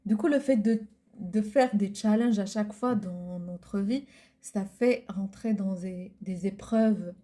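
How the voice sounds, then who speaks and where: Co-host, woman, Arabic first language: slow, hesitant delivery, mellow tone. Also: mid-range voice. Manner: hesitant, searching for words, many fillers. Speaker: podcast co-host. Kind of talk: casual chat.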